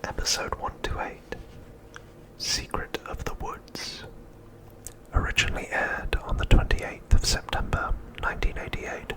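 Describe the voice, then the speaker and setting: A man hosts an ASMR recap entirely in a whisper. His delivery is breathy and unvoiced, set out in deliberate phrases with short pauses between them. It has the feel of quietly read narration. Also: low-quality recording. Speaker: ASMR host.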